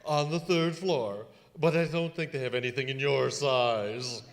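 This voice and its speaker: A man playing a smart-alecky stranger in a department store, loud and needling, in old-time radio comedy style. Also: mid-range voice, measured pace, lightly acted. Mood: sarcastic. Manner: loud, dry, needling delivery. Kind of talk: old-time radio comedy acting, live stage revue.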